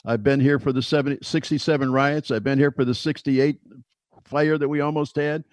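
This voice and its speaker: A man's voice: mid-range voice, fairly even intonation, a little fast, darkish tone, no room sound. A man in his seventies co-hosting a talk show, blunt and emphatic in short declarations. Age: 70s. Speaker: co-host. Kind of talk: casual debate on a talk show.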